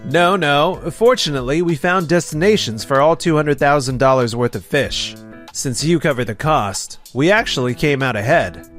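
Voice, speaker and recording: A man voicing a calm, easygoing young fish supplier in a comic dub, reassuring and unruffled. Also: on the high side, normal speed, soft background music, lightly acted. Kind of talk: dubbed comic voice acting.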